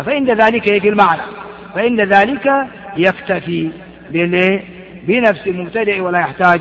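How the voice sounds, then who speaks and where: High-pitched guy teaching grammar class, asks questions and answers them himself, stumbles a lot, muffled recording. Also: fairly bright tone. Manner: halting, with repeated false starts. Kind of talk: classroom lesson explaining verse on grammar. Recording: dull lo-fi recording with long hall reverb.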